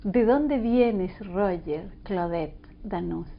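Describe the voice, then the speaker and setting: A woman's voice: medium pitch, extremely slow, lo-fi sound. A woman hosting a radio interview, often echoing her guest with short acknowledgements. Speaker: host and interviewer.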